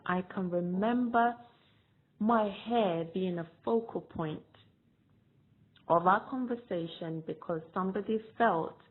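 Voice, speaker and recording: A woman from Ghana giving a reflective, measured personal testimony about her own past. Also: on the low side. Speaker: storyteller sharing personal experience. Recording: tinny phone-like line.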